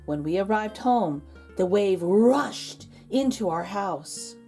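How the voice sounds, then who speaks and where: A woman narrating a children's storybook aloud as a read-aloud host, expressive and drawing out key words. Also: medium pitch, slow pace, faint music behind.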